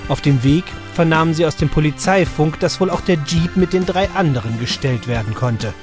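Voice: medium pitch, brisk. A narrator reading a scripted children's detective story for an audio play. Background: music.

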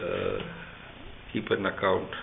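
An older man slowly recalling past work in an interview, pausing often with fillers. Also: low voice, big pitch swings, vocal fry. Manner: halting, with many pauses and fillers. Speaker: interviewee recalling clinic history. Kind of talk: slow recollection in an interview. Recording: tinny lo-fi sound with faint hiss.

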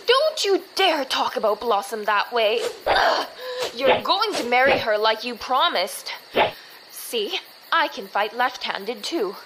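Woman acting a high-voiced, fierce young swordswoman. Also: sing-song, slow, very noisy. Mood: indignant, fierce. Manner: commanding, threatening, clipped. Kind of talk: audio drama acting.

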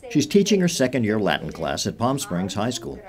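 A man's voice: on the low side, wildly swooping pitch, brisk, music in the background. A man narrating a school news program script in a polished, upbeat way.

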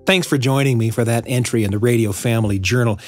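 A man's voice: medium pitch, quick pace, soft background music. A man hosting a radio show with scripted narration, leaning on words for emphasis.

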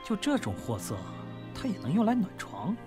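Guy playing nasty mocking kidnapper gangster, radio drama, super expressive, swearing and taunting. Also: high voice, normal speed, over music. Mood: angry, crude. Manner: taunting, foul-mouthed. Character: crude, menacing, mocking male gangster kidnapper. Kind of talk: audio drama acting.

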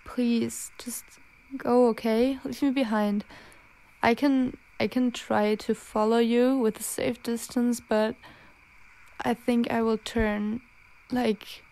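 Woman acting a hesitant, creaky-voiced werewolf girlfriend. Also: a little high, little pitch movement, darkish tone, rough recording. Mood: worried, reassuring. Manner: halting, hesitant, pleading. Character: anxious, self-loathing young female werewolf, protective and gentle. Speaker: amateur roleplay voice actor. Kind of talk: intimate ASMR girlfriend roleplay.

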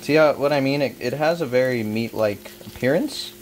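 A young man talks through his cooking in a loose, chatty way, full of "uh" and "um". His voice sits at an ordinary middle pitch. It carries a roomy echo and background noise from a camera set across the kitchen. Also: moderate pace, recorded from a distance. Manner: chatty, offhand, lots of fillers. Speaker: home cooking vlogger. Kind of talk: casual cooking vlog. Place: home kitchen. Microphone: camera on the counter, across the kitchen.

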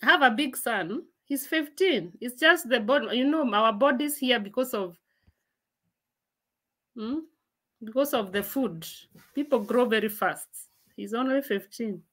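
A woman in her forties hosts a laid-back livestream from home, reading viewer comments aloud and replying between bursts of laughter. Her voice is high and bright with a forward ring, and it is picked up by a laptop mic at arm's length. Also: animated, a little slow, pauses often. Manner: chatty, laughs often, reads comments aloud and answers them. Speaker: livestream host. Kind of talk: casual livestream chat answering viewer comments. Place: room at home, indoors.

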